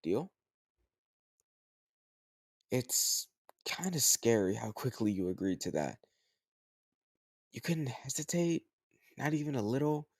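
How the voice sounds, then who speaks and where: Low-voiced teasing male tutor, acted by a man. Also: no room sound, studio quality. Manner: conversational, teasing, gently chiding. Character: teasing, slightly older male home tutor, patient but sly. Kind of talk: intimate roleplay audio drama addressed to the listener.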